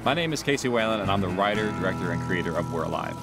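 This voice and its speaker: A man's voice: low-pitched, expressive, very fast, loud music behind the voice. A man speaking in a documentary interview as a writer, director and creator of audio drama. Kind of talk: documentary interview about their own work.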